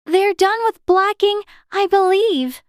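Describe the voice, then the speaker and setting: Very high, prim little girl. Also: slow, no room sound, clean studio sound. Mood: polite, curious. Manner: prim, wondering. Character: young girl, polite, curious and a little timid.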